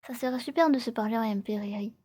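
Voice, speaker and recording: A woman in her twenties chatting casually and playfully as a live streamer, often in a soft, breathy ASMR whisper and repeating her phrases. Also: fairly high pitch, fast. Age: 20s.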